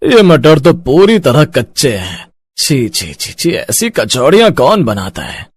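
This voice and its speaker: A man voicing an older, blunt, food-loving father-in-law in a cartoon, with slow, drawn-out exclamations. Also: mid-range voice, wildly swooping pitch, breathy edge, no room sound, full character voice. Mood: disgusted.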